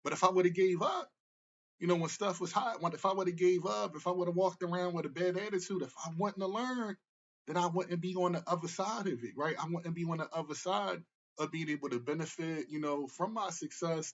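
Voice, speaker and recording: A man in his early forties speaks in a fairly high, husky voice, giving a loose motivational talk. He circles back on his points and keeps checking in with the listener. The recording sounds dull and lo-fi. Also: fairly quick. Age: 40s. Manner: casual, repetitive, tag questions. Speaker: therapist. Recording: muffled lo-fi recording.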